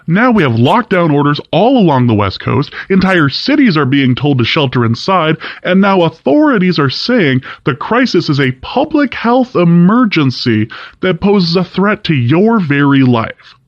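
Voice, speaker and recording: A man's voice: fairly high pitch, very animated, normal speed, dry recording, highs cut off. An actor playing an indignant, skeptical, emphatic male talk radio host in an audio drama. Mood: presenting, critical. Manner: emphatic, opinionated. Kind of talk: talk radio monologue acting.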